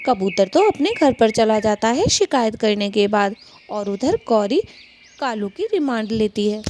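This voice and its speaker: A woman with a fairly high voice tells a children's cartoon tale as its narrator. Faint noise and bird sounds sit behind her. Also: sing-song, measured pace, a little mellow.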